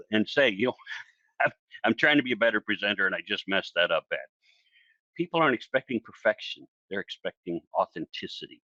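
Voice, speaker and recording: A man teaching public speaking in a casual solo video, talking in a chatty, animated way and acting out the voices of the people he quotes. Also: lowish voice, no room sound, muffled recording. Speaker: speaking coach.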